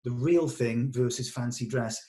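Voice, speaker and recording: A man's voice: mid-range voice, moderate pace, mellow. A man talking casually as a director in a production meeting, explaining things in a considerate, hedging way. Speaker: film director leading a table read.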